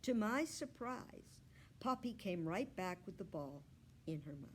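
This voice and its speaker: A woman storyteller reading a children's book aloud with expressive, deliberate narration. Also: mid-range voice, slight background noise.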